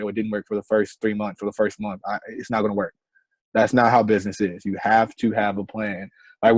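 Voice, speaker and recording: Young man, 20s, guest entrepreneur, earnest advice, mid pitch, dry close sound. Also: darkish tone, dry recording, low-quality recording. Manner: earnest, repeats "right?" as a tag. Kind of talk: online interview, motivational advice.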